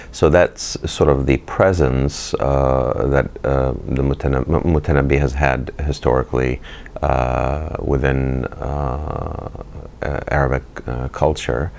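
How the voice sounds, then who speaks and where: A middle-aged man, a poet and physician, talking in a recorded interview, thoughtful and halting with many fillers. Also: extremely low pitch, vocal fry.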